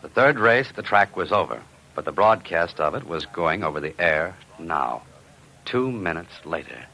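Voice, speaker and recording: A man's voice: deep voice, moderate pace, vocal fry, heavily acted. An actor playing a cool-headed, wry amateur sleuth who narrates his own adventure in a vintage radio drama. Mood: tense narration. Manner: wry, deadpan, unruffled. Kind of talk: 1940s-50s radio detective acting and narration. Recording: noisy vintage radio recording.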